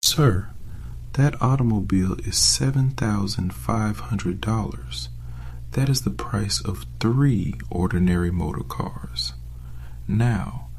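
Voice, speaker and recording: A haughty, condescending salesman played by a man in an audiobook drama. He speaks very slowly in a lowish voice, with stiff, formal politeness that looks down on the listener. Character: snooty, pressed and pomaded male car salesman. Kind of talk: audiobook drama character acting.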